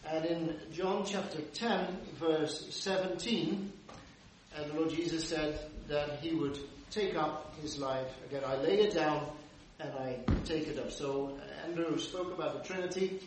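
A man at a Bible study citing verses in a measured, hesitant way. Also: a little high, noisy background. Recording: echoey room from far off.

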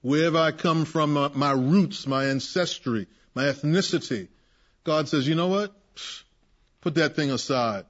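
Male Bible study leader, animated and emphatic, slightly higher voice, dull recording. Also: moderate pace. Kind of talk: preaching in a group Bible discussion. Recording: muffled lo-fi recording.

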